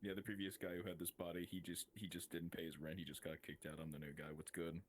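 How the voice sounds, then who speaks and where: A man's voice: sits low, little pitch movement, fast talker, a little hiss or hum, close to an everyday voice. A man playing a wry, laid-back ghost possessing a skeleton, chatting nonchalantly in a casual roleplay. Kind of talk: casual improvised roleplay banter.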